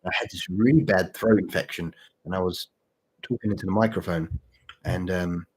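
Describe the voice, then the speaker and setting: Male streamer, fairly deep and rough-edged voice with a touch of sore throat, halting, informal, repetitive chat. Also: low-quality recording. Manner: halting, repeats phrases. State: mild sore throat, voice deeper than usual. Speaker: channel host and story writer. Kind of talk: casual livestream chat with viewers.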